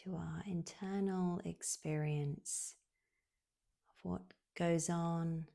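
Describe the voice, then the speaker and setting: A woman guiding a relaxation meditation in a soothing, unhurried way, leaving long pauses, with soft, breathy phrase endings. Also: on the low side, steady tone. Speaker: yoga nidra guide.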